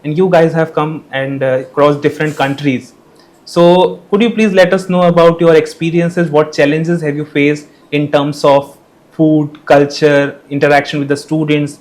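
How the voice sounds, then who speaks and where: Young man, panel host, polite, encouraging, slightly high voice. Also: little pitch movement, moderate pace, a little mellow. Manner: polite, encouraging, thanks each guest. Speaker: podcast host. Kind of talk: moderating a student panel.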